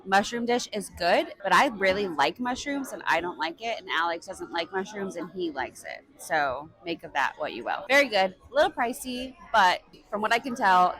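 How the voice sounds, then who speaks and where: A woman's voice: mid-range voice, animated, moderate pace, hardly any pauses, fairly bright tone. A woman from Wales, chatting casually to camera as a travel vlogger and giving candid reviews. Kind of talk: casual vlog talk to camera. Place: restaurant, then outdoors in the street. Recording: crowd noise behind.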